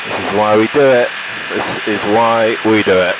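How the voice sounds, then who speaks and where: Male pilot, lowish voice, jokey and relaxed cockpit commentary, headset recording in a noisy small plane. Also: moderate pace, muffled recording. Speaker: pilot and vlogger. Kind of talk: casual chat and cockpit radio commentary. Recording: engine drone, radio headset in small plane.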